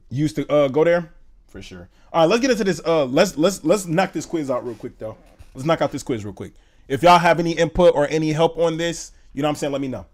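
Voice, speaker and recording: A young man in his twenties from Indiana, chatting casually on a live stream, loose and slangy, reading chat aloud and thinking out loud. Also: a little high. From Indiana, USA. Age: 20s. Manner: slangy, loose, says "bro" a lot.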